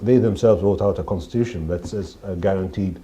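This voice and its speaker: Fast-talking man, dark insistent voice. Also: low voice, muffled recording. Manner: insistent and quick, talks over interruptions. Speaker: studio guest. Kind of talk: studio discussion. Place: TV studio.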